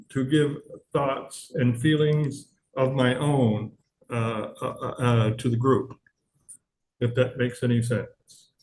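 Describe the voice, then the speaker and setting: A man speaking as grammarian at an online club meeting, halting and full of fillers, over a video call. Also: mid-range voice, a little mellow, a little hiss or hum, low-quality recording. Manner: halting, many fillers and restarts. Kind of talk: hesitant club meeting remarks.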